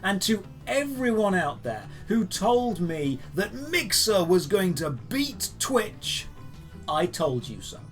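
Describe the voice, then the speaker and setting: A man's voice: high voice, big pitch swings, slow, faint music behind. A man hosting geek news commentary, speaking in an emphatic, opinionated and sarcastic manner. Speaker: host of a daily geek news show. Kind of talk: scripted news commentary to camera.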